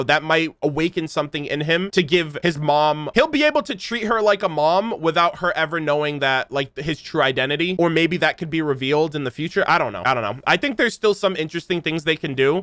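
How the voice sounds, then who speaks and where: A man with a fairly high, bright and crisp voice reacts as a gaming streamer. He talks casually and excitably, rambling through his thoughts with plenty of swearing, close to the mic. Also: no room sound.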